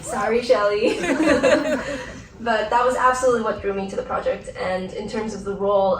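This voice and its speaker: A woman, an actress, answering questions at a post-screening Q&A, speaking in an articulate, reflective way with the odd light laugh. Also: mid-range voice. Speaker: actress, festival guest. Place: large theater auditorium. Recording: roomy theater sound from a distance, faint hum.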